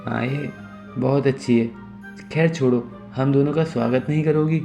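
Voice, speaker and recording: A man voicing an earnest, easily flustered young son in an animated moral story. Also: mid-range voice, normal speed, creaky, voice pushed up, close to an everyday voice. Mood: pleading. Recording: music behind the voice.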